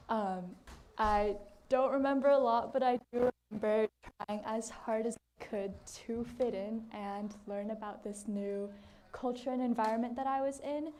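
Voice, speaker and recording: A teenage student whose first language is Chinese, giving an earnest, slightly halting research presentation to a group. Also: female voice, a little high, darkish tone, a little hiss or hum. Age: teens.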